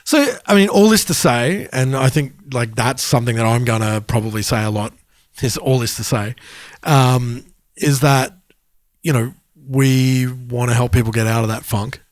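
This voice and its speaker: A man co-hosting a podcast in casual conversation, feeling out his point haltingly and rephrasing as he goes. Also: mid-range voice, no echo. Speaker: podcast co-host.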